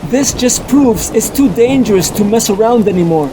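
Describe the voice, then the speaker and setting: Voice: mid-range voice, expressive, moderate pace, noisy background, lightly acted. A performer voicing a young male superhero who is earnest, apologetic and set on a hard choice, in a fan dub of a motion comic. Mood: matter-of-fact. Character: young male superhero, guilt-ridden and determined.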